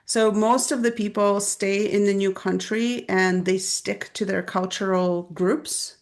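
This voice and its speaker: A woman from Belarus with a Belarusian accent, a native Russian speaker, calmly explaining her views step by step as a podcast guest. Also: mid-range voice. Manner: measured, explanatory, lays out points step by step. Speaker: guest, business strategist. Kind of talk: podcast interview conversation.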